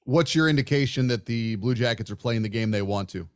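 A man's voice: medium pitch, fairly quick. A man hosting a sports radio show, asking questions and presenting in a conversational way. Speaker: sports podcast host, interviewer.

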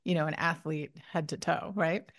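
A woman's voice: low voice, steady tone, normal speed, no room sound, clean studio sound. A woman hosting a podcast interview, chatty and enthusiastic. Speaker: podcast host. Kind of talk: casual interview.

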